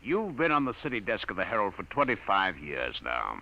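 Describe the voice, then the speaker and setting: An actor playing a domineering, sarcastic newspaper publisher who scolds with cutting emphasis in a 1950s radio drama. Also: male voice, fairly low pitch, wildly swooping pitch, brisk, vocal fry, pushed and bright, heavily acted. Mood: scolding, irate. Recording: muffled old radio recording.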